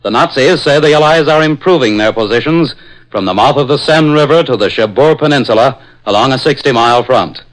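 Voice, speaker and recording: A man's voice: medium pitch, a little fast, rough recording. An American man reading a wartime news bulletin in formal, clipped 1940s radio style.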